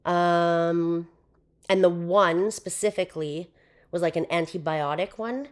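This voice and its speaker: A young woman with a hoarse voice, chatting casually as a podcast guest, with lots of fillers. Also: fairly low pitch, steady tone, slow. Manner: chatty, with frequent fillers and laughs. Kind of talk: casual interview chat.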